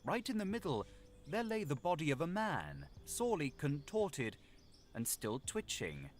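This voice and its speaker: A man narrating a gothic audiobook in a measured, literary, dramatic delivery. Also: a little high, very animated, slight background noise.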